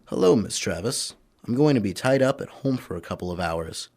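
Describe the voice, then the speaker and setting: An actor playing a decisive newspaper boss and secret crimefighter in a radio drama, businesslike and commanding. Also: male voice, fairly low pitch, expressive, fairly quick, a little mellow, breathy edge. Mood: businesslike, resolute.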